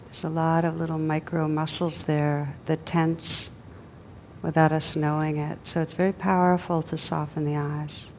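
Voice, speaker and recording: A woman meditation teacher leading a guided meditation in a gentle, unhurried manner with long pauses. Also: sits low, level tone, a little hiss or hum, low-quality recording.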